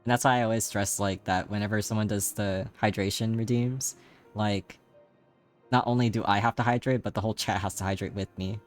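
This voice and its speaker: A man streaming live, chatting casually with a slightly raspy, tired voice and rambling, filler-heavy talk. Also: fairly low pitch, fairly even intonation, a little fast, soft background music. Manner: rambling, many fillers, laughs often.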